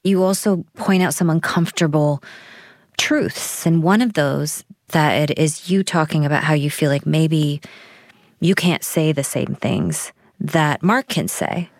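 A woman hosting a radio news program, interviewing guests and presenting in a measured, composed way. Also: low voice, dry recording, studio quality. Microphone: studio mic.

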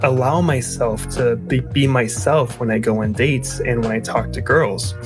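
A man's voice: mid-range voice, steady tone, unhurried. A man in his twenties, a speech language pathologist, telling his own story with a stutter that repeats and blocks sounds. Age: 20s. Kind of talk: personal testimony. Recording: music playing under the voice.

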